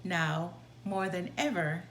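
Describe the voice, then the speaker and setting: A woman reading a children's story aloud in an expressive, deliberate way. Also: lowish voice. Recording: roomy sound, background noise.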